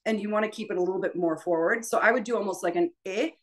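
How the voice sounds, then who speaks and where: A woman's voice: mid-range voice, rapid-fire. A woman giving informal spoken instruction as a voice teacher, tentative and self-deprecating, often trailing off. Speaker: voice teacher leading a workshop.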